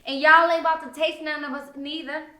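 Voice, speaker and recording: A woman's voice: sits high, little pitch movement, a little fast, pushed and bright, pitched above the performer's own voice. A woman voicing a mocking, smirking teenage girl ringleader with taunting, slangy delivery in a story reading. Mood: cocky. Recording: roomy indoor echo.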